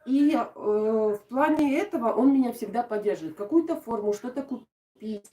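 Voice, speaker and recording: A woman's voice: a little high, moderate pace. Chatty Ukrainian woman, distant roomy sound. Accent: southern Ukrainian Russian, soft g and "sho". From Odesa, Ukraine. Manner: chatty and warm toward viewers, with frequent laughs and fillers. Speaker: host of a craft live stream. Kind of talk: casual live-stream chat, reading out viewer comments.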